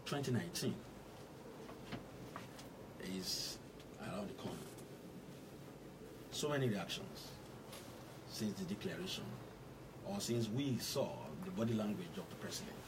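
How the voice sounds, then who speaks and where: Male, Nigerian accent, radio host, formal commentary, emphatic deliberate phrasing, off-mic sound, faint background noise. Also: lowish voice, expressive, recorded from a distance. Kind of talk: formal news commentary and interview introduction. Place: radio studio.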